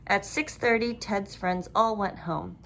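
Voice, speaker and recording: A woman reading a children's storybook aloud as narrator, with expressive storytelling. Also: medium pitch, a little slow, gravelly, slight background noise, rough recording. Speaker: storybook reader.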